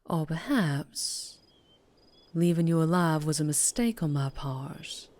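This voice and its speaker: A woman playing a mysterious, wary and teasing female vampire biker with a Southern US accent, in an intimate ASMR roleplay with breathy whispers. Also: expressive, a little mellow, exaggerated voice. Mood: guarded, probing. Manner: slow, low, teasing, lapsing into breathy whispers. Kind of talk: ASMR roleplay, scripted character acting.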